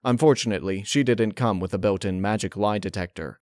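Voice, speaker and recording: Male narrator, lowish pitch, dark story reading, measured, dramatic delivery, dry sound. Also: dry recording. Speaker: fan fiction reader. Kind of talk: dark audiobook-style narration.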